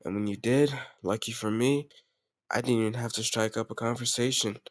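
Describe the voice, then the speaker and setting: A man acts out a self-assured mafia boss who adores his partner. He speaks in a mid-pitched male voice, affectionate and confident, as intimate romantic roleplay audio. The sound is dry, with hardly any room on it. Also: steady tone, brisk, no room sound, natural voice. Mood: fond, proud. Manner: affectionate, self-assured, addressing a lover directly.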